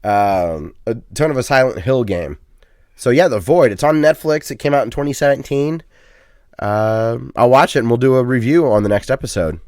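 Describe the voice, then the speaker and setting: A man co-hosts a podcast in loose, casual chat. His pitch swings in a lively way as he rambles, filling gaps with ums and uhs. The sound is dry and close. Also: normal speed, dry recording. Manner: self-deprecating, chatty. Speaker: podcast co-host.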